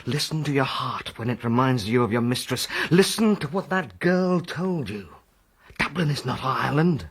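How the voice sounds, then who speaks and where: An actor playing a world-weary officer who speaks in an emphatic, well-spoken way in a period radio drama. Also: male voice, medium pitch, lively intonation, moderate pace, husky. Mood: grave warning. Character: world-weary young officer friend, gravely cautioning.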